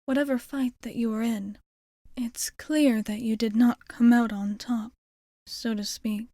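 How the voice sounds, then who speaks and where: Woman acting as female vampire bartender, ASMR roleplay, mellow soft voice, often whispery, genteel wording, close dry sound. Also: a little high, steady tone, measured pace, no echo. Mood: gentle, caring. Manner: formal, genteel phrasing. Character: long-lived female vampire bartender, courteous and caring.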